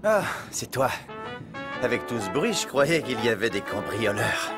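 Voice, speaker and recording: A man's voice: medium pitch, sing-song, measured pace. A man playing a father in a children's cartoon. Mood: relieved. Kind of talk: cartoon voice acting. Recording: loud music behind the voice.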